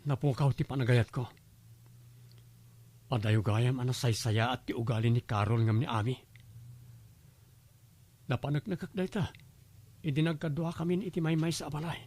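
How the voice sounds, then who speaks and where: A man's voice: mid-range voice, moderate pace, pauses often, a little mellow, lightly acted. A man playing a remorseful young groom in a radio drama, earnest and pleading. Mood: remorseful, confessing. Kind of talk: Ilocano radio drama acting.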